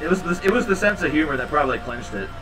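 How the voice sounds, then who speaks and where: A man in his thirties hosting a home livestream, chatting casually with viewers in a rambling, low-key, tired way. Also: animated, racing, a bit bright, distant mic, soft background music, low-quality recording. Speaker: livestream host reading chat. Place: small home room indoors. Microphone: headset.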